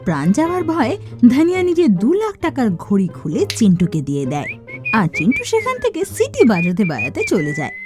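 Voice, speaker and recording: A woman's voice: fairly high pitch, huge pitch swings, fast, over music. A woman giving scripted narration for a cartoon story.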